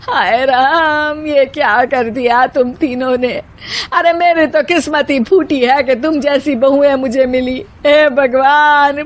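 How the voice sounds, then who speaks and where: एक महिला की आवाज़ में ऊँचे सुर वाली झल्लाई बूढ़ी सास. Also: female voice, high voice, voice pushed up. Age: older. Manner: scolding, wailing, dramatic. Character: loud, exasperated elderly mother-in-law, bossy and melodramatic. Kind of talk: hammy comic Hindi moral-story acting.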